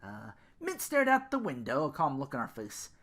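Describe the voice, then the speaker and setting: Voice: medium pitch, wildly swooping pitch, normal speed, fairly bright tone. A podcast host reading fan fiction theatrically, breaking off now and then for casual asides. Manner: theatrical, self-interrupting.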